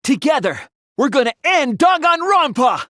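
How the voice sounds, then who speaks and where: A man voicing an earnest teenage boy detective in a dramatic anime-style video game, hesitant at times and forceful when arguing. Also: very high-pitched, big pitch swings, normal speed, no echo, clean studio sound, full character voice. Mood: defiant.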